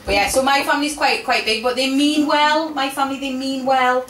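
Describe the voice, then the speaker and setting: A woman's voice: sits high, fairly even intonation, fairly quick, far from the mic. A woman in her thirties with a Geordie accent, doing cheeky, self-mocking stand-up comedy and chatting with the audience at a live venue. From Newcastle, England. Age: 30s. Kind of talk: stand-up comedy with crowd work. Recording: echoey room, from afar, noisy.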